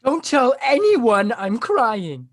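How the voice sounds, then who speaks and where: A man acts a tearful, sarcastic teenage boy in a comedy table read. He is exasperated and defensive, with a lively, swinging pitch. The sound is dry and close. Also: takes it slowly, dry recording, exaggerated voice. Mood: distraught. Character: beleaguered, tearful, sarcastic high school class president boy.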